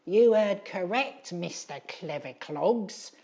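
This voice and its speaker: A reader voicing a bad-tempered, sneering scraggy old woman who shouts in a shrill, screeching voice. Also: animated, slow.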